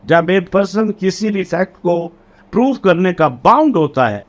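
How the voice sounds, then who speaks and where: A man with a Pakistani accent giving an explanatory lecture as a teacher, deliberate, repeating and rephrasing key phrases. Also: high-pitched, big pitch swings. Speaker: law lecturer. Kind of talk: explanatory exam lecture, code-switching between Urdu and English legal terms.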